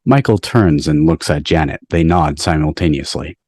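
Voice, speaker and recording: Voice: extremely low pitch, normal speed, darkish tone, dry recording, clean studio sound. A narrator reading stage directions for an amateur table read on a video call.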